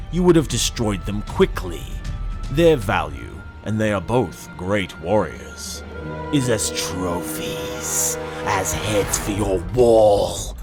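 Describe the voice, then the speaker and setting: A man narrates dark fiction in a very deep voice. His delivery is slow, brooding and theatrical, with deliberate phrasing. Music plays behind the reading. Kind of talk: dramatic second-person audiobook narration. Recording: voice over background music. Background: dramatic music.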